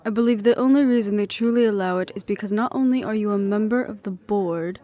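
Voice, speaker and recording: Woman playing a wry ex-hunter, mellow tone, soft and unhurried, teasing, affectionate, weary of family strife, intimate audio roleplay. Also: medium pitch, close to an everyday voice. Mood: weary, earnest. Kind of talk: intimate one-sided audio roleplay acting.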